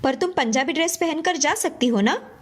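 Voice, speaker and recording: A woman voicing an exasperated, traditional middle-aged mother-in-law with an admonishing manner in an animated story. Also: high voice, moderate pace. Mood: disapproving. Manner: admonishing, disbelieving. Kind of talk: animated moral story dialogue.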